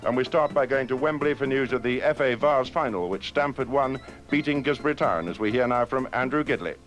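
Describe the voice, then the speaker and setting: A man presenting a radio sports bulletin in a steady announcing voice. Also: mid-range voice, a little fast. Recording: archive radio broadcast with music.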